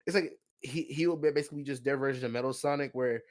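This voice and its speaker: A man's voice: medium pitch, fast talker. A man chatting casually as a podcast co-host, opinionated and slangy, often repeating himself as he argues. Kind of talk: casual chat, film discussion.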